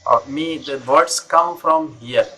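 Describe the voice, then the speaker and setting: A man's voice: on the high side, slow, pushed and bright, low-quality recording. A middle-aged man with a North Indian English accent, teaching conversationally and stressing his points by repeating phrases.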